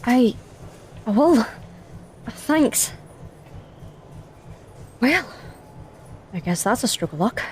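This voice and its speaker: A woman's voice: a little high, sing-song, extremely slow, long pauses, a little hiss or hum, heavily acted. An actress playing a wary, wry female commander in an audio drama. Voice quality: wry, guarded. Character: wary female commander alone in a hostile city.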